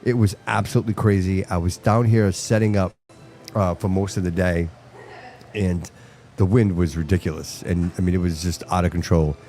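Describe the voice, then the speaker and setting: A man whose first language is Portuguese hosts a live broadcast from a restaurant venue, talking with a low, dark, mellow voice. He rambles in an animated way and repeats phrases as he chats. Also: normal speed, a little hiss or hum. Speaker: host. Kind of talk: casual live-stream chat. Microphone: headphones with mic.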